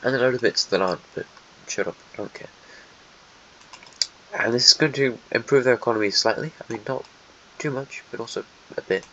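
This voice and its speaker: A man's voice: medium pitch, a little fast, pauses often, fairly bright tone. Snotty man mocking countries on a map. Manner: rambling, sarcastic asides to countries. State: stuffy nose, snotty. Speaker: host narrating a map simulation. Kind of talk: casual commentary over a map. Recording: dull lo-fi mic, faint hiss.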